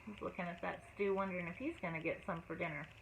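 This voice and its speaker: A teacher reading a picture book aloud to children, expressive and asking them questions along the way. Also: female voice, fairly low pitch, fast, gravelly. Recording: tinny phone-like audio, faint noise.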